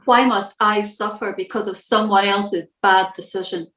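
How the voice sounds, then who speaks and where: A woman reading a scripted church devotional in a measured, reflective manner. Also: medium pitch, steady tone, fairly bright tone, echoey room.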